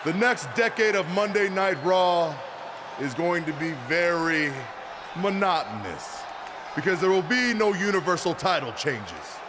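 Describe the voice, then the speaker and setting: An actor playing an arrogant, menacing wrestling champion who delivers boastful, deliberate threats in a video game promo. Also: male voice, sits high, expressive. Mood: smug, boastful. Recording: noisy arena with crowd behind.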